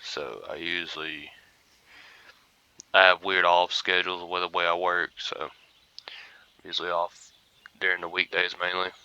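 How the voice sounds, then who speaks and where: A man's voice: low-pitched, flat delivery, moderate pace, pauses often, bright, forceful tone, clean tone, dull audio. A young man casually commentating a hunting game, groggy and rambling as if he just woke up. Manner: laid-back, rambling, mumbly asides. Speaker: gaming streamer.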